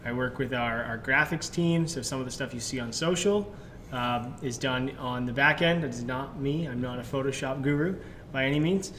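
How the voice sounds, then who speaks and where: A man co-hosting a live question-and-answer chat, explaining things in a quick, chatty way. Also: medium pitch, a bit bright, slight background noise. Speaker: content strategist and co-host.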